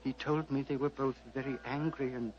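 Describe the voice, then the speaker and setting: An actor playing a serene, fatherly old Tibetan abbot in a measured, formal radio drama style. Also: medium pitch, fairly even intonation, natural voice. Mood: calm, fatherly. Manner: measured, formal, gently paternal. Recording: muffled vintage radio, hum and hiss.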